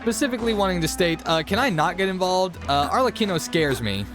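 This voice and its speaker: A man's voice: high-pitched, animated, quick pace, music in the background. A man giving casual playthrough commentary as a gaming streamer, chatty and joking, reacting aloud.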